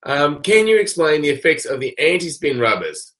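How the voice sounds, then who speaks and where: A man with a raspy voice from a cold, co-hosting and reading viewer questions in an easygoing, joking way. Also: fairly high pitch, very animated, normal speed, a bit bright. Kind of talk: casual chat.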